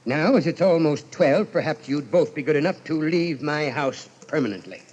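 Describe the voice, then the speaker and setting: An actor playing a stern, sharp-tongued old rich uncle in an old-time radio horror play. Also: male voice, a little high, expressive, normal speed. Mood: sardonic, unyielding. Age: older. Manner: sardonic, haughty. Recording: muffled old radio recording.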